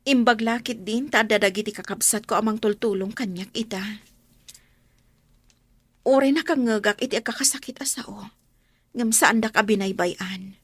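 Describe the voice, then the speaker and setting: A woman's voice: medium pitch, moderate pace, pauses often, no echo, natural voice. A woman playing a wronged, struggling mother in a radio drama, speaking with an Ilocano accent. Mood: hurt, resigned. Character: Marlyn, long-suffering wronged wife and struggling mother.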